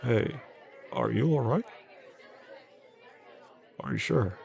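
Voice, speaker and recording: A man playing a possessive, soft-spoken boss in an intimate audio drama, talking gently and with control straight to the listener in a low, gravelly voice. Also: dull audio, a faint crowd. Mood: tender, coaxing. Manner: slow, soothing, gentle, controlled. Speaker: voice actor.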